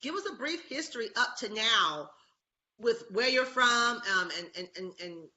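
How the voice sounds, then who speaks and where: Woman host, super bright tone, bit scratchy, muffled lo-fi call audio. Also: fairly high pitch, sing-song, moderate pace. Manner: enthusiastic, gushing, reads bio aloud. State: slightly scratchy voice. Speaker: talk show host. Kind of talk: casual interview chat.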